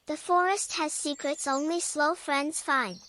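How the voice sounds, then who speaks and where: A performer voicing a gentle young forest animal in a bedtime story, with a childlike voice and simple, deliberate phrasing. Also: sits high, steady tone, slight background noise. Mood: gentle, curious. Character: gentle young forest animal friends, slow snail and companion. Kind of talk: bedtime story character dialogue. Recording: nature ambience with birdsong behind. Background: birdsong and forest ambience.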